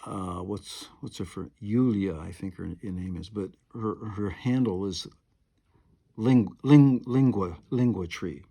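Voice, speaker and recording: Man, 70s, husky and lowish, meandering talk to camera with many asides. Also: unhurried, warm tone. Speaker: piano and harp player. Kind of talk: rambling monologue to camera. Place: home.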